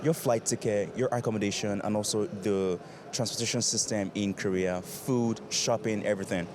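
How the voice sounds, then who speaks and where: A man's voice: fairly low pitch, steady tone, a crowd in the background. A man interviewing people on a city street, firing off questions with lots of "like". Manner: brisk, filler-heavy questioning. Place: busy city street outdoors. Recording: noisy outdoor street recording.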